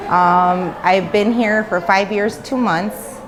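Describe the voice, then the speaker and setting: A woman's voice: mid-range voice, steady tone, slow, background noise. A woman in her thirties, born in Mexico and raised in the United States, talking openly in an interview with lots of fillers and restarts. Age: 30s. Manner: loose and talkative, many fillers and restarts. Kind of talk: candid personal interview. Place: women's prison in Mexico.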